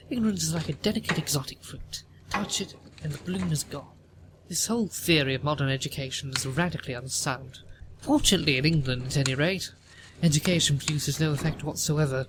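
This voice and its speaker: A haughty older upper-class matriarch from a period audio play, acted by a man. The voice sits fairly high for a male performer and interrogates with pompous disapproval. Noticeable noise runs behind the recording. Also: fairly quick, natural voice. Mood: imperious, interrogating. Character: haughty, imperious upper-class Victorian mother, older woman. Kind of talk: theatrical audio drama acting.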